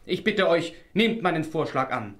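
A resolute young hero of a fairy-tale radio play. He has a fairly high voice. His way of speaking is earnest and formal. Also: sing-song, moderate pace, fairly bright tone. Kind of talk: fairy-tale audio drama acting.

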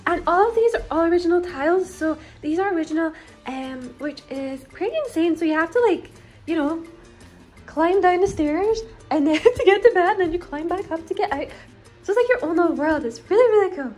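A woman's voice: extremely high pitch, expressive, a little fast, a little mellow, faint music behind. A young woman in her twenties giving a casual, giggly house tour to her viewers, chatting into a phone held at arm's length in a bedroom. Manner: giggly, bubbly, lots of "like" and "you guys".

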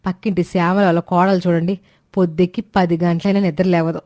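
A woman's voice: lowish voice, little pitch movement, quick pace, dark tone, no room sound, studio quality, close to an everyday voice. A woman playing a devoted, plain-spoken village wife in a moral story, with homely colloquial speech. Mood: advising. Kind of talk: animated moral-story dialogue.

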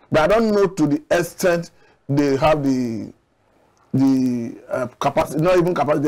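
A middle-aged man from Nigeria, a studio guest analyst, making measured, argumentative points with occasional restarts, on a lapel mic. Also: fairly high pitch, a little mellow. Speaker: public affairs analyst guest. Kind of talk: studio TV discussion. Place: TV studio set.

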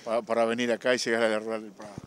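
A man's voice: fairly low pitch, monotone, very fast. A man with a Uruguayan accent interviewing people conversationally outdoors. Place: outdoors at a showground.

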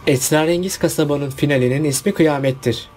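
A man's voice: fairly high pitch, fairly quick, over music. A man narrating a casual countdown video about cartoon finales in a chatty voice-over.

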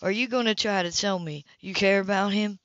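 A woman's voice: mid-range voice, fairly quick, fairly bright tone, no room sound, close to an everyday voice. A woman reading the part of a furious man who accuses a woman of lying, in a cold, clipped way. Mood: accusing. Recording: dull lo-fi recording.

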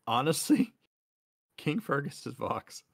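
Lively, sweary livestream co-host. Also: mid-range voice, takes it slowly, creaky, husky. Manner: informal, sweary. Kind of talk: casual livestream chat.

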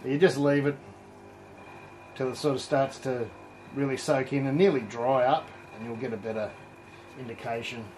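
Middle-aged man, mid-pitched, easygoing hands-on demo host thinking aloud, faint room noise. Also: moderate pace, pauses often. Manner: relaxed, explanatory, thinking aloud while working. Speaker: home brewing presenter. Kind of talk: casual hands-on demonstration. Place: indoor home brewery.

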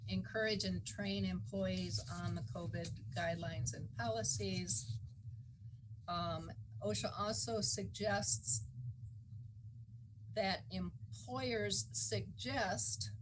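A woman county official giving a measured, halting, procedural explanation of a policy at a public board meeting. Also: mid-range voice. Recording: noisy meeting-room recording.

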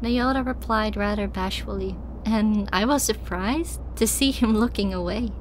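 A woman reading scripted first-person fiction as an audiobook narrator, in an expressive, dramatic way. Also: medium pitch, normal speed, a little hiss or hum, rough recording.

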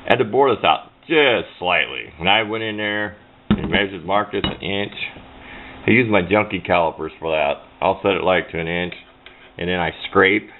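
Man, middle-aged, deep voice, casual explainer, rambling, plain-spoken, muffled lo-fi sound. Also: normal speed. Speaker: hobbyist demonstrating a homemade radio antenna build. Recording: low-fi muffled sound with clear background noise.

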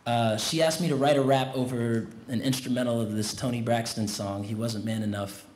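Relaxed man speaking in a roomy tent. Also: medium pitch, brisk. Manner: relaxed, conversational, leads crowd in a chant. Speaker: commencement guest speaker. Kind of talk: commencement speech. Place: large tent at an outdoor graduation. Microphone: podium mic on a stand. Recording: roomy tent sound with faint noise.

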